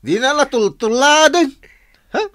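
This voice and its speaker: A man's voice: very high-pitched, lively intonation, a little slow, fairly bright tone, no echo, clean studio sound, full character voice. A man acting a hapless, hard-drinking husband in a comic radio drama, with an Ilocano accent, exclaiming and stammering in whiny protest. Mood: rowdy, tipsy.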